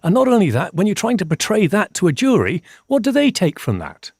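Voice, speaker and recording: A man's voice: sits high, wildly swooping pitch, gravelly, darkish tone, breathy edge, no room sound. Older scottish-born guy explaining science stuff, voice goes up and down a lot, dry sound. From Scotland. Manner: measured, explanatory, repeats points for emphasis. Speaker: forensic scientist. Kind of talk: reflective interview answers.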